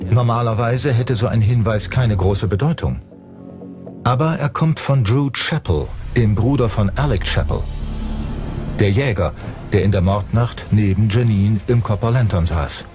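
A man's voice: on the low side, measured pace, over music. A man reading scripted true-crime documentary narration as the narrator.

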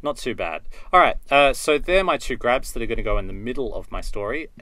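A man giving an unscripted software tutorial, thinking aloud as he works through it step by step. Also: mid-range voice, animated, brisk, no echo, rough recording. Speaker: video editing tutor.

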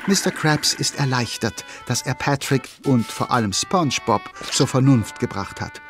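Ein Mann erzählt als Sprecher eines Kinderhörspiels nach Skript. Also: male voice, medium pitch, lively intonation, moderate pace, fairly bright tone, soft background music. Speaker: narrator. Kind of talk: lively scripted children's audio drama narration.

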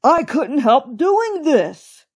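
A woman voicing a good-natured old gentleman rabbit in a children's storybook, easily flustered and prone to stammering. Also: high voice, unhurried, voice pushed up. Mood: alarmed. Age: older. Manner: stammers and repeats words when flustered.

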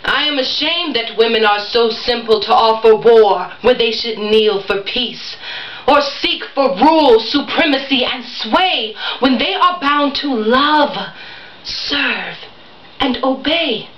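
A woman performing a classical stage monologue as a proud Shakespearean wife, declamatory and deliberate. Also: a little high, animated. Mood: earnest, admonishing. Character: proud Shakespearean wife preaching obedience to other women. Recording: echoey room, distant mic, muffled.

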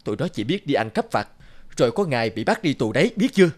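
A man's voice: medium pitch, very animated, quick pace, background noise, close to an everyday voice. A man voicing a hard-working, stern but loving middle-aged father in a cartoon. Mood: scolding. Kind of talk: cartoon voice acting. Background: prominent music.

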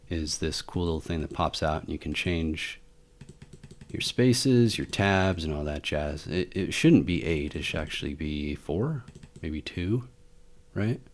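A man with a low-pitched voice narrates a screen recording as the host of a tech hobby channel. He talks in an informal, rambling way, thinking out loud as he goes. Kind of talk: casual screen-recording walkthrough. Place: indoor voice-over for a screen recording.

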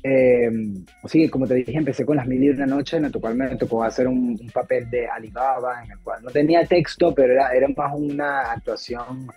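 A man's voice: mid-range voice, fairly even intonation, normal speed, dark tone. A man from Venezuela, an actor, chatting casually on a video call about his stage roles, full of fillers and restarts. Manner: rambling, many fillers and restarts. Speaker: actor guest. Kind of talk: casual interview chat. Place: home room, video call. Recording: dull lo-fi video call audio with faint music.

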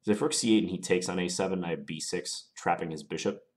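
A man with a very low voice and a slight cold talks through his chess moves at his computer. He sounds calm and analytical, weighing each option in a measured way. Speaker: chess commentator playing online. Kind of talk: thinking aloud during a chess game.

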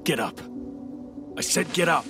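An actor playing a hostile, embittered space technician holding a captive in a dramatic game, forceful and accusing. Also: male voice, a little high, expressive, a little slow, distant mic, over music. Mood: threatening.

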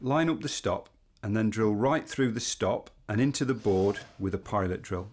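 Low-voiced man narrating instructions. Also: darkish tone. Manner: measured, step-by-step instruction. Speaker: woodworking instructor. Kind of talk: scripted instructional voice-over. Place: studio voice-over. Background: music.

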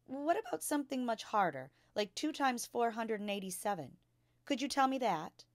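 A woman voicing a kindly, earnest young schoolteacher from a children's storybook, speaking in a clear, emphatic, instructing way. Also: fairly high pitch, very animated, normal speed, dry recording, clean studio sound, voice pushed up. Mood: curious, probing. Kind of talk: children's storybook read-aloud.

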